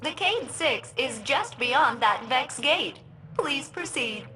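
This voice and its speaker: High-voiced glitchy female ship AI. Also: slow, soft background music, full character voice. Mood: chirpy, formal. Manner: formal cheer switching to sarcastic deadpan. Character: malfunctioning female ship AI, split between chirpy and sullen. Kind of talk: video game voice acting.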